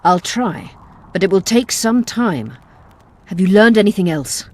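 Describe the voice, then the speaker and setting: An actress playing a self-assured, commanding sorceress in a video game, speaking briskly and formally. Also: female voice, mid-range voice, expressive, a little mellow. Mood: guarded. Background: game music.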